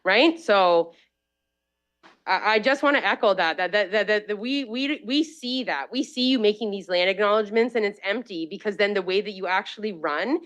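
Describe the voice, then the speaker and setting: A woman's voice: medium pitch, normal speed, fairly bright tone. A woman with a Canadian accent speaking emphatically at a lectern microphone in a council committee room, often saying "like" and repeating herself. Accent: Canadian English. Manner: emphatic, repetitive, uses "like" often. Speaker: public delegate. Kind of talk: public comment at council meeting.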